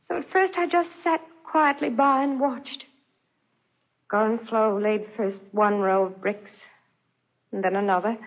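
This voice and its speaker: Anguished noble wife, high and husky. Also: female voice. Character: anguished, guilty aristocratic wife telling her tale. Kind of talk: 1940s radio drama acting. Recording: dull, band-limited 1940s radio recording.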